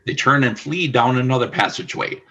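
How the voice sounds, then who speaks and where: A man narrating a scripted radio play as announcer, in a formal, deliberate storytelling manner. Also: mid-range voice, sharp tone, dull audio.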